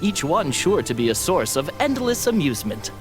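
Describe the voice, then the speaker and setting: A suave, showy merchant from an anime game. His courtly sales talk rises and dips expressively and can turn flustered. Music is clearly audible behind him. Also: on the high side, sing-song, normal speed. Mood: suave pitch. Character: suave, showy foreign male toy merchant, easily flustered.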